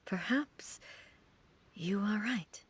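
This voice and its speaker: Dignified ruler from a video game, formal and composed, mid voice. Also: female voice, mid-range voice, very slow, a little hiss or hum. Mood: soft, resigned. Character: dignified, gracious female shogun ruler. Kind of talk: scripted video game voice acting.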